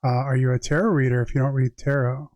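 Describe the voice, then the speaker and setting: A man's voice: medium pitch, level tone, quick pace, darkish tone, dry recording. A man giving a tarot reading on a livestream, conversational and reflective, moving between reading aloud and chatting directly to viewers. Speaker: tarot reader and live streamer.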